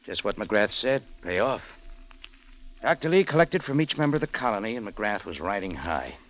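A man's voice: fairly low pitch, animated, moderate pace, fairly bright tone, poor audio. An American man playing a tough, wisecracking adventurer and narrator in a 1940s radio detective drama, with a clipped, brash manner. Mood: grim, pointed. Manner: clipped, brash, hard-boiled. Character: tough, wisecracking private adventurer in Cairo, hard-boiled narrator. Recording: old radio broadcast with music.

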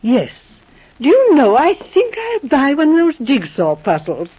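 An actress playing an excitable, curious young woman in a 1940s radio drama, who asks eager, breathless questions. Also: sits high, measured pace, full character voice. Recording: dull lo-fi 1940s radio recording.

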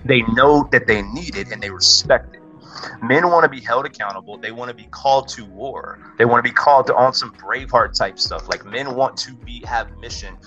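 A man giving his opinions in a casual, slangy podcast conversation, animated and emphatic, often saying "bro". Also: medium pitch, a little fast, muffled recording, faint music behind. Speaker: podcast guest.

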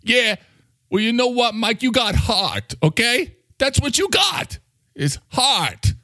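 A man's voice: extremely high pitch, very animated, slow pace, pushed and bright, no room sound, clean studio sound, pitched above the performer's own voice, full character voice. A man voicing a touchy, foul-mouthed talking coffee maker with a New York accent, blustery and defensive. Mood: earnest, blustery. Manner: blustery, defensive, swearing, badgering with questions.

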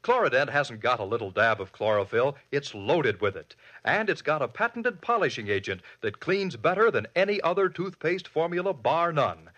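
Male 1950s ad voice, emphatic, slightly husky. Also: sing-song, moderate pace, hardly any pauses, fairly bright tone, dull audio. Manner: emphatic sales pitch. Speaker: commercial announcer. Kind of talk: 1950s radio advertisement.

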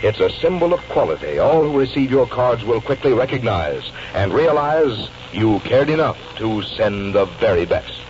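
An American man announces in a polished 1940s sponsor-read style. His mid-range voice rises and falls with lively intonation. The vintage recording is dull, with faint noise. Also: mid-range voice, moderate pace, hardly any pauses. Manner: polished sales delivery. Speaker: radio announcer. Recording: muffled old radio recording, faint noise.

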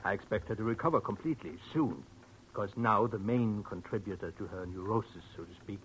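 A man's voice: on the low side, brisk, a bit bright, lightly acted. A man playing a thoughtful, philosophical sanitarium doctor with formal, polite manners in 1940s radio acting. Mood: curious, earnest. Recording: noisy old radio drama recording.

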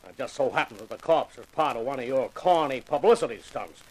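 An actor playing a gruff, mocking homicide inspector in 1950s radio drama style. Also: male voice, medium pitch, brisk, lightly acted, poor audio. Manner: mocking, heavily sarcastic, needling. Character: gruff sarcastic homicide police inspector, taunting.

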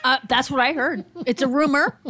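A woman's voice: sits high, steady tone, moderate pace, bright, forceful tone, a little hiss or hum. A woman co-hosting a casual political chat with a wry, sarcastic way of talking. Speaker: podcast co-host.

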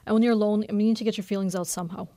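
A woman in her thirties, a novelist who grew up speaking Norwegian and has roots in Bangladesh, talking candidly and quickly in a radio interview with lots of fillers. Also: mid-range voice, no echo. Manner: fast, candid, animated with many fillers. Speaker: novelist guest.

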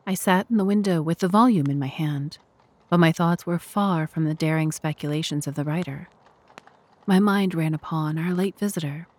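A woman playing a reflective doctor who narrates in the first person, in a measured, literary manner. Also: low-pitched, darkish tone, dry recording. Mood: wistful, musing. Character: wistful, reflective narrator doctor recalling events.

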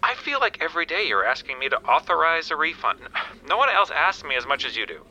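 An actor playing a reluctant, rule-bound call centre supervisor in an audio comedy drama, grudging and clipped. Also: male voice, on the high side, very animated, fast talker, sharp tone, highs cut off, exaggerated voice. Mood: exasperated.

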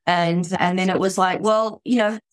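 A woman's voice: fairly low pitch, steady tone, moderate pace, no echo. A middle-aged woman with an Australian accent, a podcast guest talking in a chatty way, often restarting and saying "you know". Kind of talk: conversational interview.

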